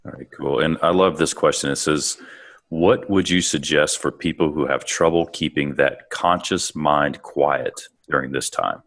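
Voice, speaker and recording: A man's voice: rumbling low, a little slow, vocal fry, a bit bright. A man hosting a webinar, upbeat and reading out audience questions to his guest. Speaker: webinar host, moderator. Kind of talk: moderating a webinar Q&A.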